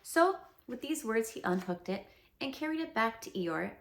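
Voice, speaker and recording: A woman reads a children's storybook aloud in a lively, sing-song way, her pitch swooping widely. Her voice sits fairly high with a slight gravelly fry. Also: normal speed, a little hiss or hum. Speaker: read-aloud narrator.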